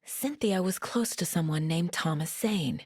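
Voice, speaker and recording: Casting: level-headed female FBI investigator for a game drama; lowish husky tone, focused delivery, very dry sound. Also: darkish tone, no room sound. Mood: pensive, serious. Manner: measured, serious, focused. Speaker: video game voice actress. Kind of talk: naturalistic dramatic game dialogue and inner monologue.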